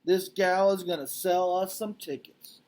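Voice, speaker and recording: A man voicing a loud, brash animal show owner in a storybook read-aloud, hyping like a showman. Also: high voice, steady tone, a little slow, pitched above the performer's own voice. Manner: shouting showman hype.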